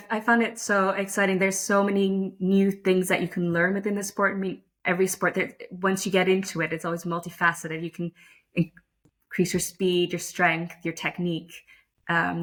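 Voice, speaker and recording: A woman's voice: on the low side, flat delivery, brisk, a bit bright. A woman from the Netherlands, a lacrosse umpire talking thoughtfully and modestly as an interview guest. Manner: thoughtful, self-deprecating, frequent restarts and fillers. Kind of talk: casual interview conversation.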